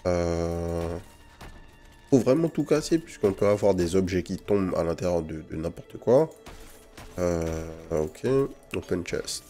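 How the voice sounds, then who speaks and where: A man with a low, mellow voice hosts a gaming video. He comments casually on what he plays, using loose slang and English gamer words. Game music plays behind him. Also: a little slow. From French Guiana. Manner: informal slang sprinkled with English gaming terms. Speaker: gaming channel host. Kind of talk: casual gameplay commentary with first impressions.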